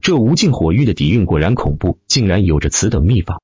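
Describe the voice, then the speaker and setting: A man's voice: low voice, sing-song, fast, a little mellow, slightly rough, no room sound, muffled recording. A man reading a fantasy novel as dramatic scripted narration. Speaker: audiobook narrator.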